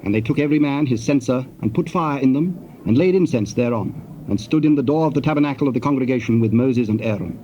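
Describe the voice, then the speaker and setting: A man narrating dramatized scripture in a formal, measured storytelling manner. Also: medium pitch, warm tone. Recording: muffled, faintly hissy tape.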